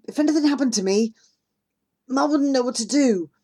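An anxious young boy stammers out apologies and promises, as a woman reads his part. He sounds hesitant and guilty, his voice pitched a little above a plain reading voice. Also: normal speed, warm tone, breathy edge. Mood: pleading.

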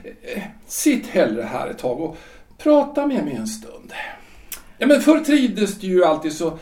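Echoey, off-mic period drama: male actor as pompous, stammering lawyer husband with very lively intonation. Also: sits high, distant mic. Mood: hesitant, coaxing. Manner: hesitant, rambling, stammering, self-satisfied. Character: Gustav, chatty, self-important 43-year-old lawyer-politician husband. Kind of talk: period stage-play audio drama.

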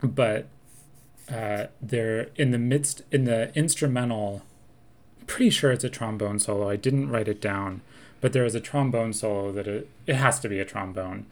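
A man's voice: lowish voice, normal speed. A man co-hosting a podcast, talking through song lyrics in a thoughtful, analytical way and quoting lines with relish. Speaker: podcast co-host. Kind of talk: casual chat.

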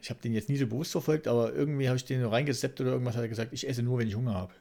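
A man co-hosting a podcast in casual banter, often haltingly repeating the openings of his phrases. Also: mid-range voice, creaky, warm tone. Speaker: podcast co-host.

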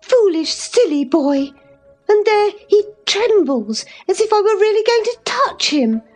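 A woman's voice: very high-pitched, expressive, slow, darkish tone, faint music behind, pitched above the performer's own voice, heavily acted. A woman voicing a scornful, passionate young lady in a storybook, scolding with emphatic, imperious phrasing. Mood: scornful, angry.

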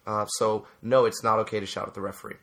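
A man's voice: fairly low pitch, level tone, fast talker, a bit bright. A man who coaches youth soccer, co-hosting a casual advice chat, earnest and growing emphatic as he makes his points. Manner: earnest, rises to emphatic when making a point; frequent fillers and restarts.

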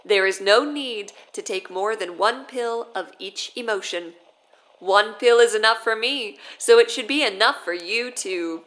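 A woman's voice: mid-range voice, unhurried, a bit bright, lightly acted, low-quality recording. A woman acting as a stern, authoritarian president giving a formal broadcast speech in an audio drama. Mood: firm, official.

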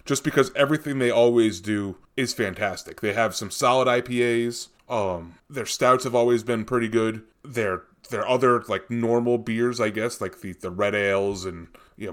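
Rambling male beer podcast host. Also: fairly low pitch. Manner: rambling, tangential, with many fillers and restarts. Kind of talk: casual chat.

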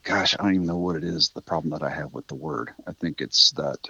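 A man's voice: very deep voice, fast talker, creaky, pushed and bright, slightly rough, no room sound, lo-fi sound. A middle-aged man chatting reflectively as an interview guest, rambling thoughtfully and correcting himself as he goes. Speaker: interview guest, writer of a radio drama. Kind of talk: casual reflective interview chat.

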